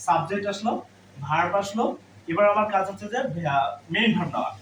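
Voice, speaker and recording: Guy teacher w high voice, echoey room, bit of noise, keeps asking questions then answering them himself. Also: animated, fast, a bit bright. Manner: instructive, asks and answers own questions. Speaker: English grammar teacher. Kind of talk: classroom lesson, explaining step by step. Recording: roomy, distant mic, faint background noise.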